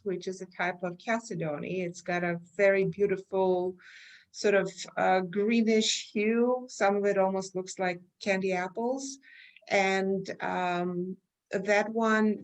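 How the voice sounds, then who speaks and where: A middle-aged woman from Armenia with a slight Armenian accent, answering interview questions in a measured, explanatory way with frequent fillers. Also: mid-range voice, rough recording. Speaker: guest, research company chair.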